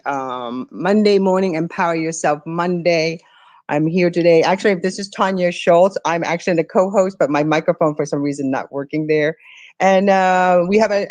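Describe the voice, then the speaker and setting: Woman host on a phone mic, low-ish and dry-sounding, casual and chatty. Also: normal speed, darkish tone, no room sound. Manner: chatty, apologetic, with filler words. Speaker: podcast co-host. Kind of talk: hosting a live audio chat. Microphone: Android phone.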